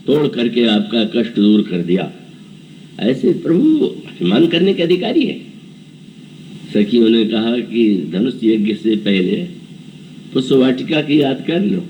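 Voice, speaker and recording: A man's voice: medium pitch, very animated, slow, pauses often, warm tone, far from the mic, slight background noise, low-quality recording. A man in his seventies giving a devotional scripture discourse, telling the story and acting out characters' dialogue. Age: 70s. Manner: storytelling, voices characters' dialogue and chants verses. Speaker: preacher.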